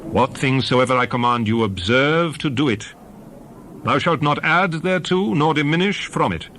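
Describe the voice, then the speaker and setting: Animated, solemn male scripture narrator. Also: fairly high pitch, normal speed, slight background noise. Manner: solemn, declamatory, emphatic. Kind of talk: dramatized scripted Bible reading.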